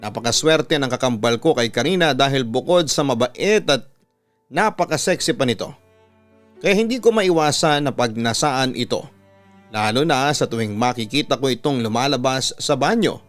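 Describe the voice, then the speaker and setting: A man's voice: medium pitch, normal speed. Filipino man narrating over music. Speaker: radio announcer and storytelling host. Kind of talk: dramatic narration of a listener's confession letter. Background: background music.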